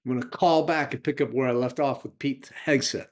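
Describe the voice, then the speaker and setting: A man's voice: mid-range voice, fairly even intonation, a little fast. An American man speaking emphatically and indignantly as a political activist making his case. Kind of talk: impassioned spoken political advocacy.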